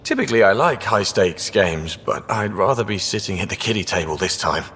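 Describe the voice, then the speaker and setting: A man's voice: fairly low pitch, expressive, brisk, fairly bright tone, big-room sound, slight background noise. An actor playing a wisecracking, easygoing male crewman in a video game, with colloquial, wry banter. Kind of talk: video game dialogue acting.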